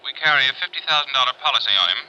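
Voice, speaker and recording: An actor playing a blunt, businesslike official with clipped, forceful delivery in a 1950s radio drama. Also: male voice, medium pitch, very fast. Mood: brisk, blunt. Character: blunt, brisk businesslike man, official or executive. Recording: muffled 1950s radio recording.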